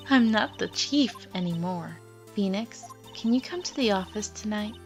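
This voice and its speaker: Female mentor spirit for a game, acted by a woman: composed, caring, medium pitch, measured delivery over soft music. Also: creaky. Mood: gentle, wistful. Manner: calm, measured, firm. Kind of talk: fandub voice acting of a visual novel game.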